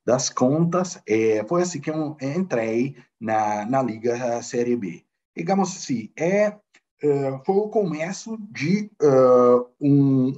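A middle-aged man with an Italian accent talks slowly, hesitating often and repeating words as he reaches for the right ones. His first language is Italian. The sound is a little dull, like a remote call. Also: mid-range voice, a little mellow. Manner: halting, many fillers and repeated words. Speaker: guest, football club finance director. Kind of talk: interview answers in a second language.

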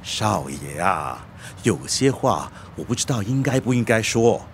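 A man's voice: on the low side, sing-song, a little slow, slight background noise. A male actor in a radio drama playing a deferential, meddling, worried manservant, hesitant and fussy. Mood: curious, hesitant.

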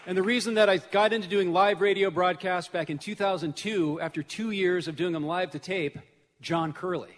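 A man's voice: high voice, steady tone, moderate pace, crowd noise. A man speaking as an event host, chatty and rambling, introducing people casually and off the cuff from a stage. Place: large church hall, on stage. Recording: noisy echoing hall with audience. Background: audience and room noise.

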